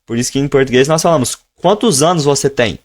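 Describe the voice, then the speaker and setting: A man teaching a live online lesson, explaining at a relaxed pace and stretching out example phrases slowly so learners can follow. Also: medium pitch. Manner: switches between teaching tone and slow, drawn-out modeled phrases. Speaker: English teacher.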